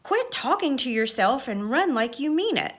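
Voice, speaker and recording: A woman's voice: high-pitched, big pitch swings, moderate pace. A woman voicing a blunt, bossy young girl in a storybook, barking impatiently. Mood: scornful.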